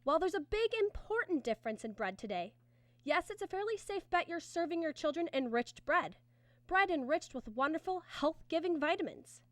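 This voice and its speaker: A woman's voice: very high-pitched, big pitch swings, normal speed, no echo. A woman announcer reading a scripted 1950s-style radio advertisement with an earnest, emphatic sales delivery.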